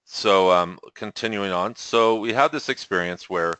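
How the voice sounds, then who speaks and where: Deep-voiced man teaching on a call. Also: moderate pace, smooth, no echo. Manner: relaxed, chuckling, many restarts. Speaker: webinar host. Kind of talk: teaching webinar talk. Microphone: computer mic on a call.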